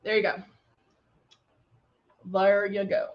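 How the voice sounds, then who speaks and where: A woman's voice: medium pitch, monotone, slow. A middle-aged woman with a Texan country accent, chatting upbeat through a live makeup tutorial and greeting viewers as she works. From Texas. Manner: chatty and upbeat, talks while applying makeup, playful sound effects. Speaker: makeup brand host. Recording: dull lo-fi livestream audio.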